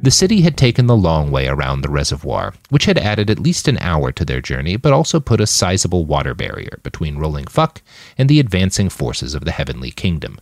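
A man's voice: very deep voice, huge pitch swings, a little fast, no room sound. A man narrating a scripted novel as an audiobook reader.

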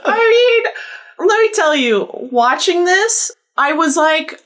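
A woman's voice: very high-pitched, sing-song, slow pace, a bit bright. A woman guest on a podcast, telling casual comic anecdotes in a playful, mock-serious way.

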